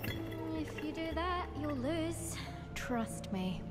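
A woman's voice: very high-pitched, animated, very slow, loud music behind the voice, exaggerated voice. A woman playing a cocky, competitive teenage witch student in a video game, smug and taunting. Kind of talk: video game cutscene acting.

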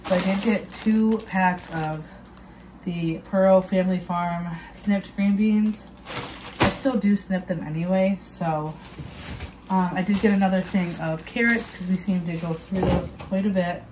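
A woman's voice: fairly low pitch, steady tone, measured pace, noisy background. A young woman chatting casually with many ums and restarts, showing her groceries in a home kitchen, recorded on a propped-up phone. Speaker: vlogger. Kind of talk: casual grocery haul chat. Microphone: phone camera propped on counter.

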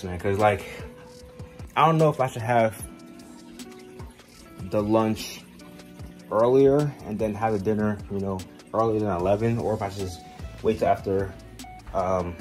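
A low-voiced guy in his twenties walking around his house, filming a slangy, stop-and-start vlog on his phone with music playing. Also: a little mellow. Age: 20s. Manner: informal, slangy, halting, often says "man". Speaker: vlogger. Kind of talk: casual rambling chat to camera. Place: indoors at home, moving between rooms. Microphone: handheld phone at arm's length.

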